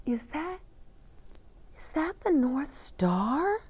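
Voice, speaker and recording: Woman voicing eager, high-pitched young girl reindeer. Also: sing-song, extremely slow, darkish tone, full character voice. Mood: awed. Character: young female reindeer, eager, wistful, earnest.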